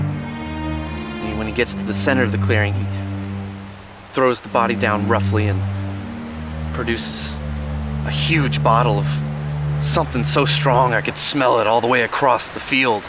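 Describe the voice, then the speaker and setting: An actor playing an awestruck, haunted wanderer who tells a campfire horror tale in a grave, halting way, in a film drama. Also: male voice, medium pitch, lightly acted. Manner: halting, grave, repeats himself. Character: awestruck, haunted male wanderer recounting a demonic killer. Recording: loud music over the dialogue.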